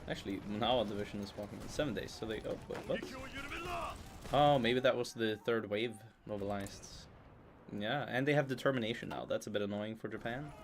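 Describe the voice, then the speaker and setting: A man's voice: on the low side, a little fast, noisy background. A man giving casual live commentary on a strategy game, thinking aloud as he goes.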